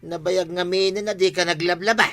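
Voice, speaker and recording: An actor with an Ilocano accent playing a teasing, lazy, boastful husband in a radio comedy drama. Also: male voice, sits high, fairly even intonation, a little fast, pushed and bright, no echo, clean studio sound, faint music behind. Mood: boastful, indignant. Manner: mocking, exclaiming.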